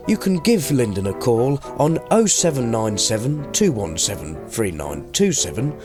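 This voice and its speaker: A man, reading scripted links and questions as a radio presenter. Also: medium pitch.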